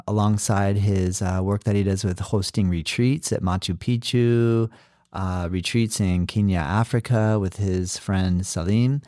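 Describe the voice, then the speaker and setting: A man's voice: sits low, warm tone, no echo, clean studio sound. A man hosting a podcast interview, enthusiastic and full of filler words. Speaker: podcast host.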